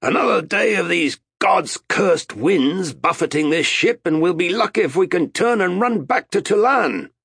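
A man's voice: on the high side, huge pitch swings, slow, vocal fry, a bit bright, husky, dry recording, studio quality, voice pushed up, full character voice. A man voicing a bold, blustery, threatening sea captain in an audiobook, with an emphatic theatrical delivery. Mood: urgent bellowing. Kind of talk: audiobook character acting.

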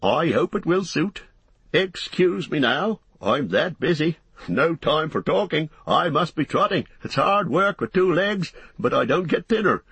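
A fat, harried innkeeper, pitched high and swooping about as he goes. The voice is husky and the talk rambles on in folksy, breathless phrases, as in a storybook reading. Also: sing-song, a little slow, fairly bright tone, no room sound, exaggerated voice. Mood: flustered, chatty. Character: fat, bustling, harried, talkative middle-aged male innkeeper. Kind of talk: audiobook character voice.

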